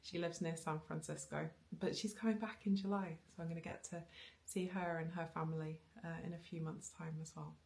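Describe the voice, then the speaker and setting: A woman's voice: sits low, fairly even intonation, brisk, gravelly, hardly any pauses, a little mellow. A woman in her thirties chatting casually to camera about knitting, rambling with ums, restarts and little laughs, recorded in her living room. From Wales. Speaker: knitting vlogger. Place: living room with bookshelves, indoors. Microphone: camera on a tripod facing her.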